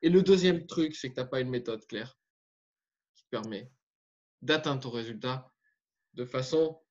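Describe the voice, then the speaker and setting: A man's voice: medium pitch, measured pace, darkish tone. A man coaching and interviewing, explaining his points emphatically. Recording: dull muffled audio.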